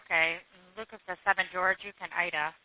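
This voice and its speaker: A woman's voice: on the low side, fairly even intonation, fast. A woman working as a police dispatcher, talking in terse, businesslike radio procedure. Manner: clipped, businesslike. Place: dispatch room, recorded over phone and radio lines. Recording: narrow muffled phone and radio line.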